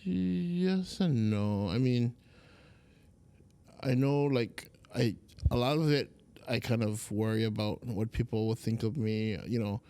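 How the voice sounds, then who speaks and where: A young man from Hawaii, a Hawaiian language teacher, chatting as a podcast guest in a relaxed, rambling way with lots of fillers. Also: medium pitch, slow pace, clean tone. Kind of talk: casual interview chat.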